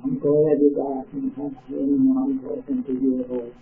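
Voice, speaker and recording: A man's voice: mid-range voice, flat delivery, measured pace, slight background noise. An older man reminiscing in a rambling, casual way, interviewed over the phone. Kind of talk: casual oral-history chat by phone. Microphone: telephone. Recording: tinny phone line.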